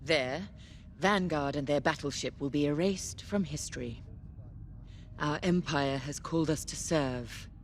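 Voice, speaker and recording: An actress playing a cold, vengeful female military commander in a video game, speaking in a measured, menacing, formal way. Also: sits low, a little hiss or hum. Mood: stern, controlled. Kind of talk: dramatic video game mission briefing. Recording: loud music behind.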